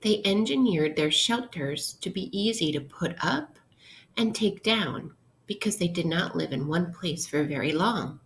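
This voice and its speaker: A woman teacher reading aloud an interactive lesson for young children, instructional and pausing to pose questions to her listeners. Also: lowish voice, lively intonation, a little hiss or hum.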